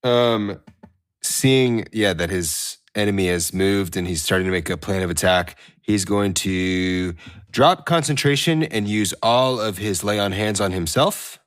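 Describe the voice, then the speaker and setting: Slow, low-voiced male tabletop player. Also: lively intonation, no echo, studio quality. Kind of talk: narrating own character's moves casually.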